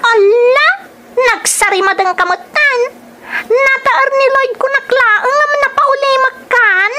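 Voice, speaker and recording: An actress with a Filipino accent playing an animated, teasing young female office worker in a radio drama. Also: very high-pitched, slow pace, slight background noise, full character voice. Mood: smitten, teasing.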